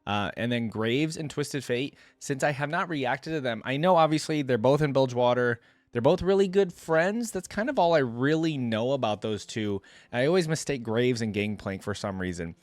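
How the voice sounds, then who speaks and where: A man's voice: mid-range voice, lively intonation, moderate pace, dry recording. Enthusiastic man, casual reactions. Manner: enthusiastic, chatty. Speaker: reaction video host.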